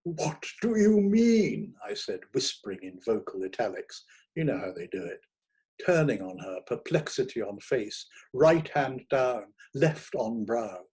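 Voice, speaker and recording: A man narrating a literary short story in a theatrical, expressive manner. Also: lowish voice, measured pace, breathy edge. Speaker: reader of short stories.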